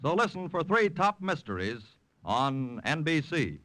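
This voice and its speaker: Bright, animated American radio announcer man. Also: on the high side, unhurried, no echo. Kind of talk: 1950s network radio announcing, scripted. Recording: old 1950s radio broadcast.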